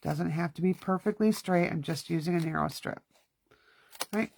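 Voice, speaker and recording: A woman's voice: lowish voice, normal speed, gravelly, darkish tone, slight background noise. Stuffy-nosed woman chatting through a craft demo. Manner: chatty, self-talking while working. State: stuffy nose. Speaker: craft class host. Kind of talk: casual hands-on craft demonstration.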